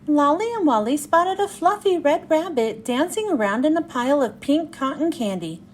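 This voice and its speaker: A woman reading a children's storybook aloud in an expressive, sing-song way. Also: sits high, moderate pace, slight background noise. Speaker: children's book reader. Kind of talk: animated scripted storybook read-aloud.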